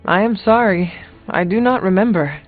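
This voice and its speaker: A man acting an earnest, higher-pitched warrior hero. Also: soft background music, close to an everyday voice. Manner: formal, measured, avoids contractions. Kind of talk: video game character dialogue. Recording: thin, phone-like narrow audio.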